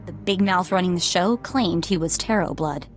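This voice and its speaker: An actress plays a determined young woman working as a bounty hunter's handler in a game drama. Her voice sits low, earnest and urgent, carrying grief, with soft music behind it. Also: normal speed, close to an everyday voice. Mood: urgent, resolute. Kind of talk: video game voice acting.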